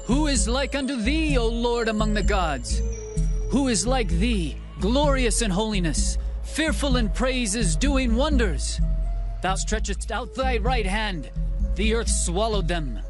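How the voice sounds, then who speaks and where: An actor playing Moses, declaiming a song of praise in a dramatized scripture reading with music behind. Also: male voice, high-pitched, animated, takes it slowly. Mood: exultant. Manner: solemn, declaiming. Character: Moses, man singing praise to God.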